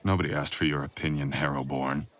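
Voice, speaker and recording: A man playing a brooding, possessive young boyfriend with a gruff, terse, menacing manner in an audio roleplay. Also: extremely low pitch, fairly even intonation, fast, a bit bright, voice pushed down. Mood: hostile, possessive. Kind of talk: boyfriend ASMR roleplay acting.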